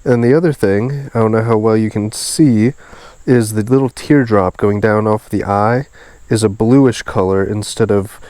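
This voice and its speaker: A man's voice: fairly low pitch, steady tone, moderate pace, a little mellow. A man with a nasal, stuffed-up voice, sounding sniffly as he hosts a fishing video in a casual, enthusiastic way outdoors. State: congested and sniffly. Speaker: host of a fishing video series. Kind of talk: casual outdoor vlog narration. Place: outdoors beside a small creek.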